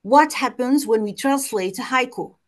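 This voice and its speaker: A woman presents an academic paper over a video call. Her voice sits a little high, and she reads in a careful, measured way, sometimes stopping to restart a word. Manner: careful, measured reading with occasional hesitant restarts. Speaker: conference presenter. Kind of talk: reading a prepared academic paper. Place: home or office on a video call.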